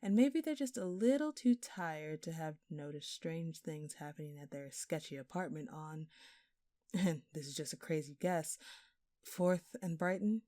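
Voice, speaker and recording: Woman playing a smug, flirty thief, low mellow voice. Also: lively intonation, unhurried, no room sound, studio quality. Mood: taunting, insinuating. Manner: teasing, sly, mock-innocent. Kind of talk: audio roleplay acting addressed to the listener.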